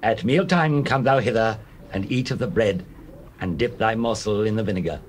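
An actor playing Boaz, a kindly, dignified older landowner, in measured, solemn classical delivery of dramatized scripture. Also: male voice, lowish voice, natural voice. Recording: noisy old cassette tape.

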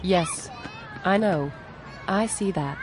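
Woman as an exasperated friend, slightly low voice. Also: takes it slowly, a crowd in the background, noisy background. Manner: plain-spoken, conversational, exasperated. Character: exasperated down-to-earth friend of a preachy guru. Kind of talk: scripted animated dialogue.

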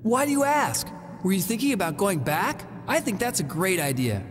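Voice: medium pitch, very animated, moderate pace, lightly acted. An actor playing a brave, hot-headed young hero boy in a video game cutscene, speaking in an earnest, emphatic way. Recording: long reverb over game music.

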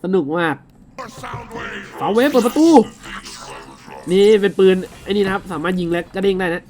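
A man with a high-pitched voice gives casual commentary on a video game. He exclaims often, uses crude slang and talks straight to his viewers. Game music plays behind him. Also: normal speed, a little mellow. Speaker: gaming video host. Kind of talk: casual game commentary with loosely dubbed dialogue.

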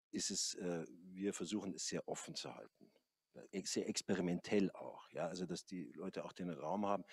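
A man's voice: deep voice, darkish tone, husky, no echo. A middle-aged man, a yoga teacher, talking on a café panel with a handheld mic and many hesitant fillers. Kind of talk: panel conversation. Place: large café hall, indoors.

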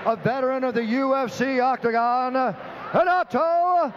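Male ring announcer, high voice, stretched-out name calls, arena crowd roaring. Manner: booming, drawn-out name calls. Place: large indoor arena with crowd. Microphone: handheld mic. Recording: muffled arena PA with loud crowd noise.

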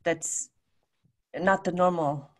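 A woman's voice: on the low side, fairly even intonation, slow. A middle-aged woman answering questions informally at an online talk, hesitant and searching for words as she thinks aloud. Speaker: guest lecturer answering questions. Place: home room with bookshelves, on a video call. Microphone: large desk microphone.

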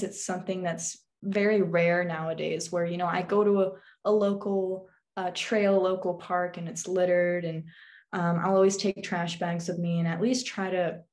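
Teen girl guest, low-ish voice, hesitant and reflective, webcam sound from a small bedroom. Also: a little mellow. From England, also Turkey, Azerbaijan and Alaska. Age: teens. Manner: thoughtful, hesitant with many ums. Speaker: guest, witchcraft YouTuber. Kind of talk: casual interview answers. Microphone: video call webcam.

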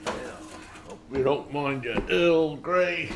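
A gruff, slow-talking old keeper. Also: male voice, fairly high pitch, noisy background. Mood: folksy, relaxed. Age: older. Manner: folksy, gruff, drawling, chuckling. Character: wary old lighthouse keeper, gruff but folksy host. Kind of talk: audio drama acting.

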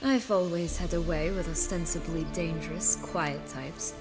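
Woman acting a low-voiced, haughty, cynical noblewoman. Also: steady tone, over loud music, lightly acted. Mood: sardonic, intimate. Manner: measured, sardonic, theatrical. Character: haughty, cynical, wounded female noble with dark past. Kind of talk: fantasy video game acting.

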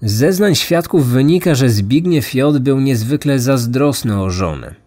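A man's voice: mid-range voice, lively intonation, a little slow, darkish tone, soft background music. A man narrating a scripted true crime story as a podcast host.

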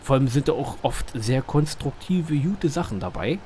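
A man's voice: medium pitch, animated, normal speed, mellow. Man, Berlin dialect, chatty gameplay commentary, rambling fillers, faint background noise. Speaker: gaming channel host.

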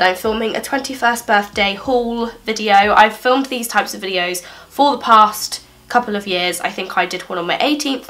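A woman's voice: medium pitch, a bit bright. A twenty-one-year-old woman with a blocked nose from a cold, chatting upbeat through a birthday haul in a small living room. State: congested from a cold. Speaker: beauty vlogger. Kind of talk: casual haul vlog.

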